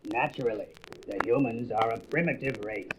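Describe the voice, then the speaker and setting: An actor playing a cold, imperious alien invader commander with open contempt, in a comic book audio drama. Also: male voice, mid-range voice, normal speed, fairly bright tone, natural voice. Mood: scornful. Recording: noisy, dull old record with clicks.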